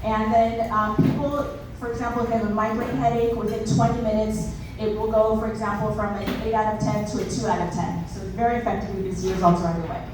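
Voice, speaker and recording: A woman's voice: mid-range voice, fairly even intonation, fast talker, hardly any pauses. A woman acupuncturist who grew up in Southern California, chatty and upbeat, laughing often as she explains procedures step by step to a small audience. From born in Israel, grew up in Southern California. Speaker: acupuncturist and naturopathic doctor. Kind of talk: casual presentation and demonstration. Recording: echoey room, voice from a distance, noisy.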